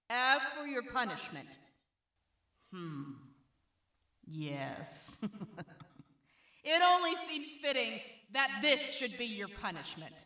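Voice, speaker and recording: A woman's voice: a little high, very animated, drawn-out words, pauses often, pushed and bright, heavily acted. A woman playing an imperious, cruel raider cult leader in a post-apocalyptic audio drama, making pompous ceremonial pronouncements. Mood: gloating, deliberate. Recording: echoey room, distant from mic.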